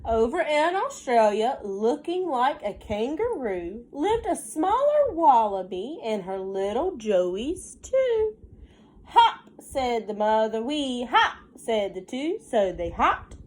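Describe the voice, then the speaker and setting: A woman reading a picture book aloud to young children like a teacher, in an expressive sing-song way with simple questions and asides. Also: on the high side, slow, poor audio.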